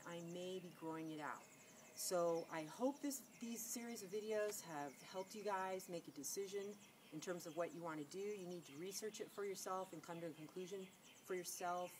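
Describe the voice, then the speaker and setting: A middle-aged woman, nasal from a cold, chatting casually to camera and rambling with many fillers and restarts. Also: lowish voice. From California. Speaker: beauty vlogger. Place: outdoors against a sunlit wall. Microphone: camera on a tripod. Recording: noisy outdoor camera audio.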